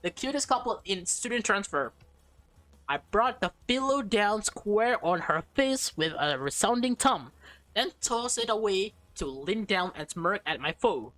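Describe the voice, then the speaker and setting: A woman's voice: medium pitch, expressive, a little slow, a bit bright, dry recording, low-quality recording. A woman reading a visual novel aloud as a let's player, stumbling over and repeating words, with slangy, casual reactions.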